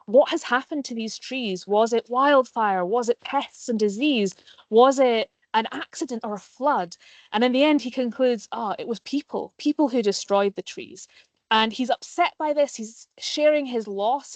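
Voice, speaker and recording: A woman's voice: fairly high pitch, no echo. Woman teaching over a dry line. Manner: friendly, explanatory, frequent ums. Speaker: nature educator. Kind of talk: live online lesson for pupils. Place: plant nursery.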